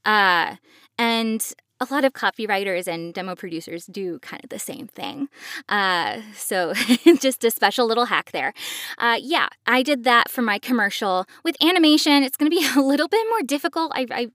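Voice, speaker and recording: A woman's voice: a little high, animated, moderate pace, fairly bright tone, dry recording, clean studio sound. A woman hosting a podcast, explaining things to parents in a conversational way. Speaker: podcast host and voice actor.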